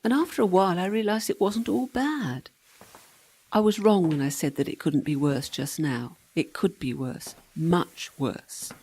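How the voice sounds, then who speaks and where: A woman's voice: fairly low pitch, measured pace, a little mellow, husky. An actress playing the ghost of a serving girl in a radio play, practical and teasing, with a dry, matter-of-fact manner. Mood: wry, matter-of-fact.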